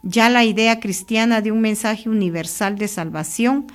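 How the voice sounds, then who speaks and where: A Guatemalan woman hosting a church radio program, greeting listeners and reflecting on scripture in a steady, unhurried pastoral way from a radio studio booth. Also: mid-range voice. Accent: Guatemalan Spanish.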